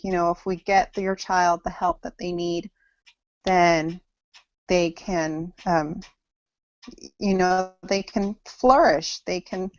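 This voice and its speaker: A woman in her thirties from Samoa and Hawaii gives a class presentation over a video call, speaking very slowly and stopping often mid-sentence. Her voice sits a little low, and the sound is dull and lo-fi. It is dry, with no room echo. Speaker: student presenting to an online class.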